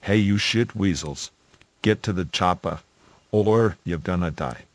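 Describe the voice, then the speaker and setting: A man's voice: low voice, measured pace, no echo, voice pushed down. A man playing a tough, commanding action-movie hero through an AI voice changer. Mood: commanding, urgent.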